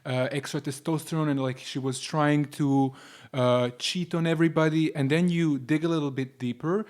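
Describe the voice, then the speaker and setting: A man's voice: fairly high pitch, fairly even intonation, moderate pace, darkish tone. A man, a guest talking earnestly in a casual panel discussion and speeding up as he makes his points. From Croatia. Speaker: podcast guest.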